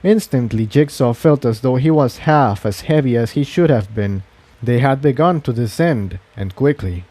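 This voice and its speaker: Mellow, animated male storyteller. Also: mid-range voice, normal speed, no room sound. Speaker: fan fiction narrator. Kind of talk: dramatic scripted audiobook narration.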